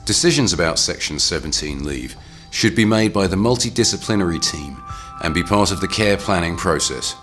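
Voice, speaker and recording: A man's voice: low voice, fairly bright tone. A man reading scripted narration for a training film as its narrator.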